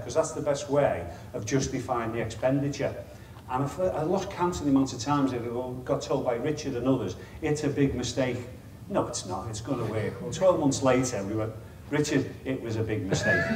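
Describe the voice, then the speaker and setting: A man's voice: medium pitch, a little mellow, reverberant, far from the mic, a little hiss or hum. Chatty middle-aged man with a Liverpool accent. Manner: chatty, self-deprecating, wry asides. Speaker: chief executive giving a conference talk. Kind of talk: informal presentation to an audience. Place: meeting room with an audience. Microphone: lapel mic.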